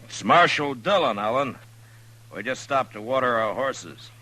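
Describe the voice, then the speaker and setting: An actor playing a steady, weary American frontier marshal, terse and understated, in an old radio western. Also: male voice, mid-range voice, measured pace. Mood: firm, restrained. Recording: noisy 1950s radio recording.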